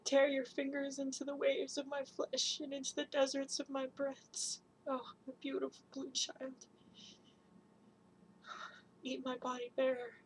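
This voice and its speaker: A woman giving a dramatic spoken-word performance, chanting long repeated lists in an incantatory way and swinging between hushed whispers and loud cries. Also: sits high, completely flat delivery, a little slow, a little hiss or hum, low-quality recording.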